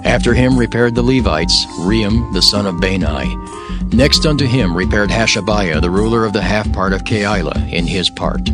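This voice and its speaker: A man narrating scripture for an audio drama in a solemn, formal and measured way. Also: lowish voice. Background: music.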